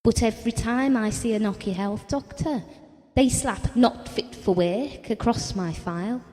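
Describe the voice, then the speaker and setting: A woman's voice: a little high, a little slow, warm tone, long reverb tail, close to an everyday voice. An actress playing a candid, self-deprecating wife who confides wryly and conversationally about her marriage in an intimate audio drama. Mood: defensive, wry.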